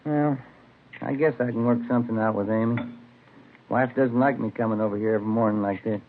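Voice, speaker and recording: Male actor as amiable, fussing cop partner in radio drama, medium pitch, tinny band with faint hiss. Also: fairly even intonation, brisk, close to an everyday voice. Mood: homely, easygoing. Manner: homely, chatty, mildly fussing. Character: fussy, good-natured married police detective partner. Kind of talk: 1950s radio drama acting, naturalistic. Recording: tinny hissy vintage radio recording.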